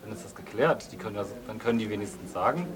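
A man's voice: on the low side, animated, quick pace, a crowd in the background, lightly acted. A man playing a blunt, persistent questioner in a naturalistic radio drama, challenging the other person in everyday speech. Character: blunt, probing male questioner. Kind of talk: improvised naturalistic interview drama.

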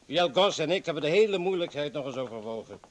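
A male actor plays an earnest comrade in a radio drama. His voice is slightly high. He is placating yet insistent, reasoning and pleading like a man who badly needs to persuade. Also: lively intonation, fast talker, close to an everyday voice. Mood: persuasive. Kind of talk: dramatic radio play acting.

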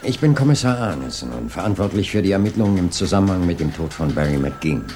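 An actor playing a politely persistent police inspector in a radio play, questioning insistently with drawn-out, swooping phrases and a creaky voice. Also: male voice, deep voice, big pitch swings, a little mellow, slight background noise. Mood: businesslike. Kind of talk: 1980s German radio play acting.

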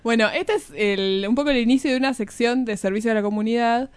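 A woman's voice: a little high, quick pace, clean tone. A woman with a Rioplatense Argentine accent co-hosting a show and steering a casual conversation. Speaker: radio show co-host.

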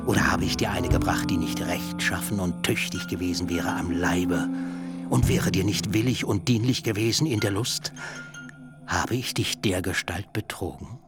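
A man's voice: low-pitched, moderate pace, over loud music, close to an everyday voice. A man playing a cunning, pompous older uncle in a literary radio play, lecturing in a sly, self-righteous way. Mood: indignant. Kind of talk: stylized literary radio drama.

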